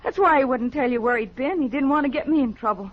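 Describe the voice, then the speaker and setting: A woman's voice: high-pitched, quick pace. A woman acting as an earnest frontier woman pleading for her man in a 1950s radio western. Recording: dull, noisy 1950s radio recording.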